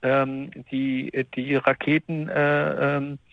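Halting man on a dull line. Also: mid-range voice, little pitch movement, creaky, dry recording. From Netherlands. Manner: halting, many fillers and restarts. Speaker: interviewee. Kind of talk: remote interview. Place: apartment.

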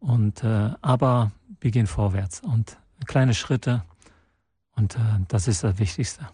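A man born in Portugal and raised in the Netherlands, guesting in a radio studio and answering haltingly with many restarts and fillers. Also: on the low side, warm tone, slightly rough, no echo. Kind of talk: radio interview.

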